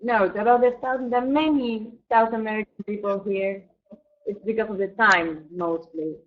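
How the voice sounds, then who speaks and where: A woman's voice: mid-range voice, steady tone, normal speed, slight background noise. A woman fan asking a band a question over a video call, friendly and curious. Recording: tinny phone-like call audio.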